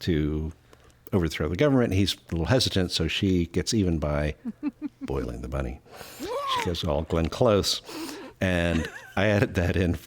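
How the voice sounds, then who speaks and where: A man hosting a casual round-table chat, telling stories in a halting, chuckling way. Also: sits low, animated. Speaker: host.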